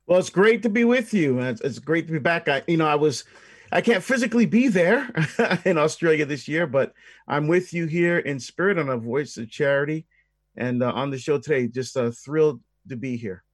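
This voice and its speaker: A man's voice: on the high side, lively intonation, moderate pace, no room sound, clean studio sound. A man with an American accent speaking as an interview guest, explaining things conversationally. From Barbados and the United States.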